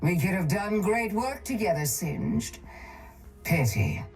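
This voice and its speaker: An actress playing a cold, calculating older crime-boss chemist in a video game, speaking in a measured, menacing, condescending way. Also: female voice, medium pitch, lively intonation, darkish tone, slightly rough, faint music behind, heavily acted. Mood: cool regret. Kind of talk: video game character voice lines.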